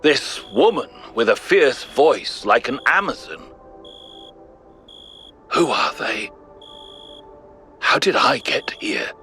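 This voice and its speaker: An actor playing a thoughtful, principled ancient Greek philosopher in a video game, giving a grave, measured, rhetorical monologue. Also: male voice, mid-range voice, very animated, pushed and bright, exaggerated voice. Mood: puzzled. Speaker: narrator of philosophical audio logs. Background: music.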